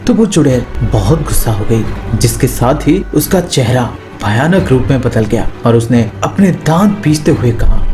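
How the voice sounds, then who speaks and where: A man's voice: medium pitch, animated, normal speed, few pauses. A man narrating a scripted horror story as a storyteller. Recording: music behind the voice. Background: background music.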